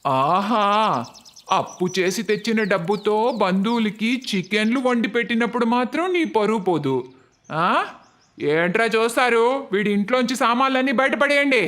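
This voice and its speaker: Male actor, village landlord, harsh, scornful, bullying, high-pitched, animated moral story. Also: normal speed. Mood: scornful, threatening.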